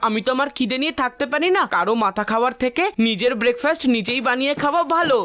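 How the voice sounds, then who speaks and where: A woman's voice: high-pitched, expressive, racing. A woman voicing a cheeky, hungry little boy in a cartoon dub, cocky and argumentative. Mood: determined. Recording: loud music behind the voice. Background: loud cartoon music.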